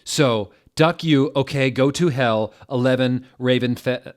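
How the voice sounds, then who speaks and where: A man voicing an angry, defensive teenage girl author, loudly drawing out emphatic words in a comedic reading. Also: medium pitch, pitched above the performer's own voice, natural voice. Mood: ranting. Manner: loud, emphatic, drawn-out words.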